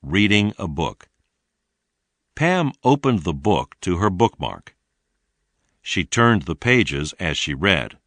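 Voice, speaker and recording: A man reading scripted narration for an English language lesson in a deliberate, carefully enunciated way. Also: low-pitched, very animated, a bit bright, no echo.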